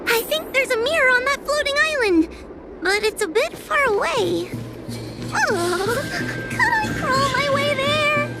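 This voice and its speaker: A woman's voice: very high-pitched, sing-song, a little slow, loud music behind the voice, heavily acted. A woman playing a timid, anxious young girl in a video game, speaking hesitantly. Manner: hesitant, nervous, often faltering.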